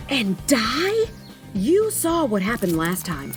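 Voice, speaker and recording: A woman's voice: on the high side, wildly swooping pitch, slow pace, music in the background, exaggerated voice. A woman playing a nervous, snarky young adventurer who complains dramatically in a sci-fi fantasy audio drama. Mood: frightened.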